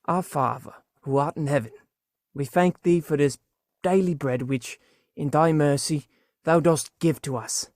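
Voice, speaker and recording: An actor playing a gentle, devout and loving parent of a poor family in a theatrical radio play. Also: medium pitch, measured pace, dry recording, studio quality, close to an everyday voice. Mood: reverent.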